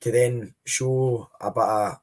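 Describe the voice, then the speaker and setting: A man's voice: medium pitch, fairly even intonation, slow pace. A man with a Scottish accent giving blunt, frank opinions as a guest on a casual football podcast. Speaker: podcast panelist.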